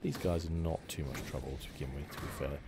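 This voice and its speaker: A man giving relaxed ASMR game commentary, musing and rambling with a hesitant delivery. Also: very deep voice, quick pace, creaky, noisy background. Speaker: gaming channel host.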